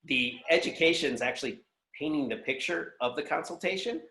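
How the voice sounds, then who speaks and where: A man's voice: on the high side, brisk, gravelly, sharp tone. A man giving conversational business advice as an interview guest, explaining things in a practical way. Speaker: guest, sales executive and consultant. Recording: roomy, echoing voice pickup.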